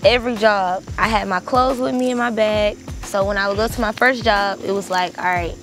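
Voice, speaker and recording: A woman's voice: medium pitch, fairly even intonation, brisk, a bit bright. Girl in her 20s chatting on a trail, music playing behind. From Mobile, Alabama. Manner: laughing often, playful, slangy. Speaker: guest rapper. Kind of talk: casual outdoor interview. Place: outdoors on a hiking trail.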